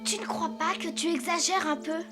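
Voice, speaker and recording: A woman's voice: very high-pitched, moderate pace, music in the background. A woman voicing a young boy elephant king in a French cartoon, earnest, trusting and questioning. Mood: puzzled.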